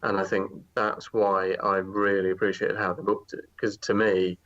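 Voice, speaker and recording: A man's voice: low voice, level tone, normal speed, fairly bright tone, highs cut off. A man guesting on a casual wrestling podcast, explaining his opinions at length.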